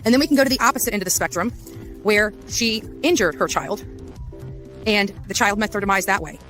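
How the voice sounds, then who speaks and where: A woman's voice: mid-range voice, a little fast, a bit bright, soft background music. A woman giving blunt, certain commentary on location for a video. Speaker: online case commentator.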